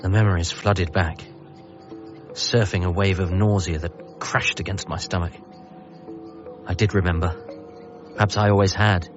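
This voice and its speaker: A man acting a wry, reflective, grieving son who narrates his memories in a measured, lyrical way for radio drama. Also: low-pitched, steady tone, highs cut off, music in the background, lightly acted. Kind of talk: scripted first-person narration in radio drama.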